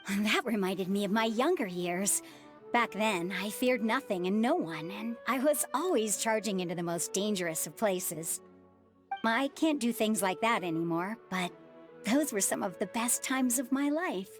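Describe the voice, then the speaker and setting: Woman voicing a wise, gentle ex-adventurer mother. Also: medium pitch, expressive, moderate pace, faint music behind, close to an everyday voice. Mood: calm. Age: middle-aged. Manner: gentle, reassuring, reflective. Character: kind widowed former adventurer woman, calm and wise. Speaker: former adventurer and mother of two. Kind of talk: game voice acting.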